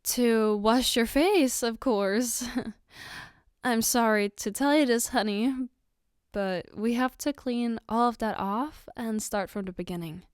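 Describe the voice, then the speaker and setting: A woman acts out a loving girlfriend speaking one-on-one in an ASMR roleplay. Her voice is high and takes its time, recorded dry and intimate. She is tender and reassuring, with a playful, coaxing tease. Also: slow pace, clear, resonant tone, dry recording. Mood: gently teasing, caring. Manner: tender, coaxing, soft giggles between phrases.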